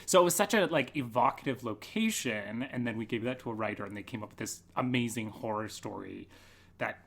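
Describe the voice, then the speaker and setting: A man's voice: mid-range voice, animated, brisk, vocal fry, fairly bright tone. A man chatting casually as an interview guest, explaining things informally and saying "like" often. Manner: informal storytelling with frequent "like". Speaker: guest theatre artist.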